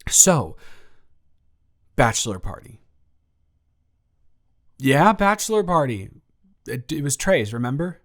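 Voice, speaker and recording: A man's voice: medium pitch, big pitch swings, slow pace, pauses often. Nervous, stammering roommate, acted by a man. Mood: nervous, rambling. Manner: stammering, self-deprecating, repeats himself. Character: nervous, rambling young male roommate confessing feelings. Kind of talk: improvised intimate audio roleplay.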